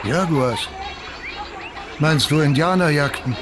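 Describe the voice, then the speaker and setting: A man's voice: fairly high pitch, slow. A man playing a guarded, probing riverboat captain in a radio drama, speaking in terse questions and statements. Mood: probing, suspicious.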